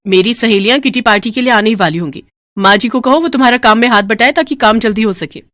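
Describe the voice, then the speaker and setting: A woman's voice: fairly high pitch, fast talker, fairly bright tone, no room sound, clean studio sound, close to an everyday voice. A woman voicing a bossy, greedy young daughter-in-law who scolds and orders people around in a storybook drama. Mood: haughty, demanding. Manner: haughty, scolding, commanding.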